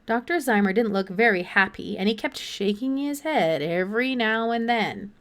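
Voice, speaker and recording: A woman's voice: a little high, animated, moderate pace, a bit bright. A woman reading a children's novel aloud as an audiobook narrator.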